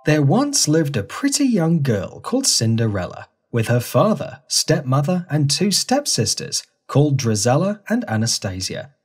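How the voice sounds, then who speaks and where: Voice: mid-range voice, very animated, moderate pace, few pauses, slightly rough. A narrator reading a scripted fairy tale for children in storybook style.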